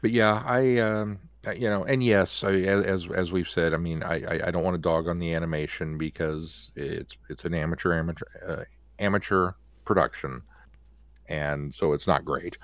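A man talking casually as a podcast co-host, opinionated and emphatic, often feeling his way through a thought in halting steps. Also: low-pitched, steady tone, no room sound. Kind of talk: casual fan discussion.

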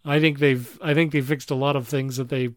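A man's voice: mid-range voice, steady tone, fairly quick, no room sound, clean studio sound. A man co-hosting a podcast, chatting casually about films and repeating phrases as he thinks. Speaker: podcast host. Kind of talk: casual chat, informal film reviewing.

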